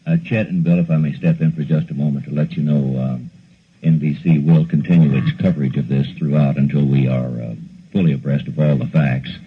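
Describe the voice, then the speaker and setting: A narrow, tinny 1960s broadcast recording carries a man with a very deep voice. He sounds rough and husky, giving an unscripted news update in halting phrases. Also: steady tone.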